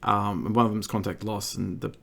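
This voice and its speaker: An Australian man hosting a casual podcast chat, croaky and gravelly from a recent cold, stammering through restarts. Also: on the low side, level tone, fast, a bit bright. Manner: stammering restarts, laughs often. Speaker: podcast host.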